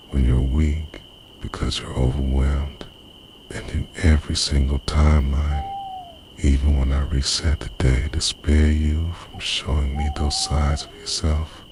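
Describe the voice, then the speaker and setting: A man's voice: very deep voice, background noise, full character voice. A man playing an obsessively devoted time-travelling boyfriend in an intimate, earnest, half-whispered ASMR roleplay. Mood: tender, reassuring. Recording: night crickets and owl behind voice. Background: night insects, crickets and hooting owl.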